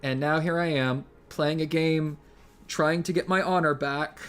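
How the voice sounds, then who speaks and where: A woman's voice: low-pitched, steady tone, measured pace, soft background music. A woman playing an earnest, easily wounded young exiled prince, defensive and awkward in improvised comedic banter. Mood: defensive, stubborn.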